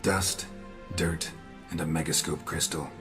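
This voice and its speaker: Gruff monster hunter, extremely low gravelly voice, terse, deadpan, fantasy game, music underneath. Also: male voice, measured pace. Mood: brooding, observant. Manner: deadpan, clipped.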